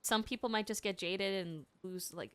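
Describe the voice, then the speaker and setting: A woman's voice: medium pitch, normal speed, fairly bright tone, smooth, no room sound. A woman streamer chatting casually and expressively while reacting to a game story.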